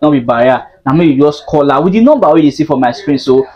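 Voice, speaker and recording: A man in his thirties with a Nigerian accent, hosting a store walkthrough vlog, pitching products in an upbeat, salesy patter and repeating prices in an indoor showroom. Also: expressive, fast, highs cut off. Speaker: market blogger.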